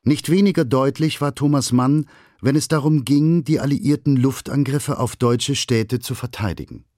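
Guy narrating a history documentary, factual and measured, dry studio sound. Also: medium pitch, darkish tone, no echo.

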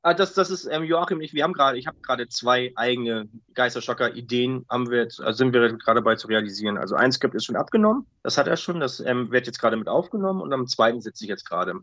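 A man's voice: mid-range voice, fast talker, hardly any pauses, fairly bright tone, no echo. A middle-aged man answering interview questions casually as an author, rambling and repeating his words. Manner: rambling, repeats words, often tags sentences with "ne". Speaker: interviewed audio drama author. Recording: muffled lo-fi audio.

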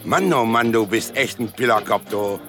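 An actor playing a dry, teasing bar-room buddy who talks colloquially in a satirical radio play. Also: male voice, on the low side, normal speed, a bit bright, faint music behind.

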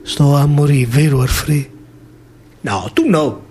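High-pitched Roman man, dramatic verse storyteller. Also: unhurried, background noise. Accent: Roman Italian. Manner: declamatory, emphatic, rhythmic phrasing. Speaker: stage actor and storyteller.

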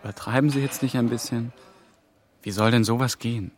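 A man playing a young, careful and sceptical European institution trainee in a radio drama, speaking in a measured way. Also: mid-range voice, fairly even intonation, lightly acted. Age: young adult. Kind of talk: German radio drama dialogue. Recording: music behind the dialogue.

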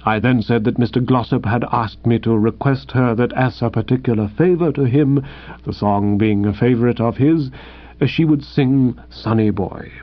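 A man voicing a composed, deferential male valet who speaks in a measured, formal, deadpan way. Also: mid-range voice, close to an everyday voice. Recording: slightly dull lo-fi recording.

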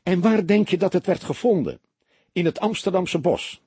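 A man voicing an eager, excitable younger police detective reporting in an audiobook. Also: sits high, normal speed, breathy edge, dry recording, voice pushed up, exaggerated voice. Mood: excited.

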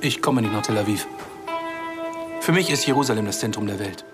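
An actor playing a courteous, sardonic Palestinian man from East Jerusalem in a radio drama, speaking with measured, polite irony. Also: lowish voice, over loud music, lightly acted.

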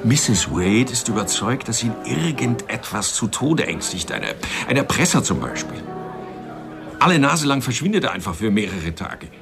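An actor playing a polite, worried book publisher in a radio crime drama, businesslike and earnest. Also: male voice, medium pitch, sing-song, moderate pace, gravelly, over music. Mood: earnest, urging. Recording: studio radio play with bar crowd behind.

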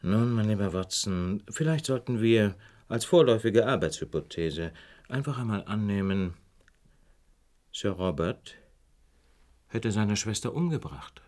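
A man in a radio play acting a shrewd, self-assured detective who lectures in a measured, wry, teasingly superior way. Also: fairly low pitch, animated, darkish tone. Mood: lecturing, probing.

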